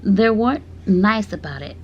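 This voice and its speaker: A woman reading children's stories aloud to her baby, speaking gently and breaking off for playful asides. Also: mid-range voice, animated, moderate pace, highs cut off, slight background noise.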